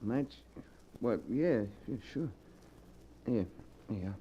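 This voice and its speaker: An actor playing a broke, bitter locksmith in an old radio drama, speaking hesitantly and stammering at times. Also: male voice, mid-range voice, expressive, extremely slow, muffled recording, slight background noise. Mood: flustered. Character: down-on-his-luck male locksmith, bitter and hesitant. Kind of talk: 1950s radio drama acting.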